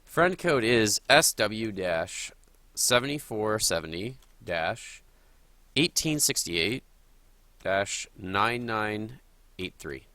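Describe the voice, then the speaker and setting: A man streams a game live and talks through each move as he plays it. He is sniffly from a cold. His voice is bright and forward, sitting fairly low. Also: animated, takes it slowly, no room sound. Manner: chatty, thinking aloud while playing. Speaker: gaming streamer.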